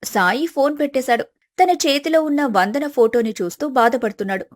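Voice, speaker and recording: A woman's voice: high-pitched, a little fast. A woman storyteller reading scripted narration for a family moral story.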